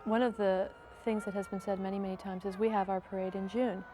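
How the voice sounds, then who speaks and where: A woman answering interview questions thoughtfully, pausing with fillers as she goes. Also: medium pitch, flat delivery, mellow, a little hiss or hum. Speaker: activist interviewee.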